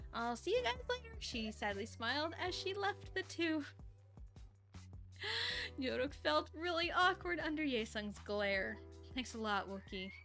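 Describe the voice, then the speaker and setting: Woman, high voice, bright tone, casual live story reading, informal reactive delivery, music in the background. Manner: breaks off to react and giggle at the story. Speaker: streamer reading fan fiction aloud.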